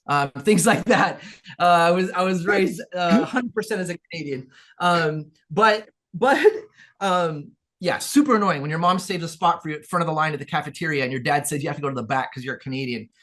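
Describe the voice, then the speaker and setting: Man, from Peru, interview guest, earnest, repeats and restarts, a bit high-pitched. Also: fairly quick, a bit bright. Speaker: guest, business owner. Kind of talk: casual interview storytelling.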